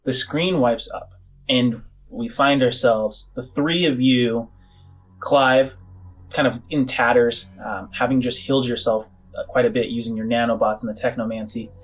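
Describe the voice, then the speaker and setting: A man hosting and narrating a tabletop roleplaying game in halting, improvised short phrases. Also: medium pitch, steady tone, low-quality recording. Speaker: game master and host of a tabletop game show. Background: music.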